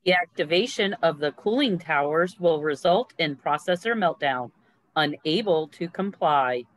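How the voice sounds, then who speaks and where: A man playing an impassive colony computer, giving formal, measured warnings in a screenplay table read. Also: a little high, animated, a bit bright, poor audio.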